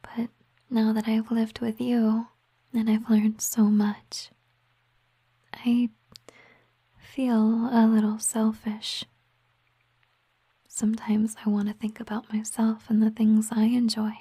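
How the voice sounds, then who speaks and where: A woman voicing a gentle, wistful siren mermaid girlfriend in an intimate ASMR roleplay, speaking in a soft, breathy, hesitant whisper. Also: fairly high pitch, completely flat delivery, no room sound. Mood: wistful, confiding. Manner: slow, hushed, tender, hesitant.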